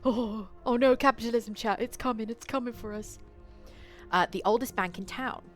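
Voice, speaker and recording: A woman's voice: a little high, moderate pace. A woman game streamer reading a visual novel aloud and chatting to viewers, with casual swearing and playful asides. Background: eerie game music.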